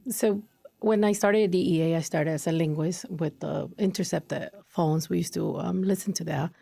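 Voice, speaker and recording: A woman from Ecuador tells her career story as a podcast guest, chatty and full of fillers. Her voice is low and gravelly with a mellow tone, and the sound is close and dry. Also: normal speed, dry recording. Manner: chatty storytelling with fillers, acts out her own past reactions. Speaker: podcast guest, compliance analyst.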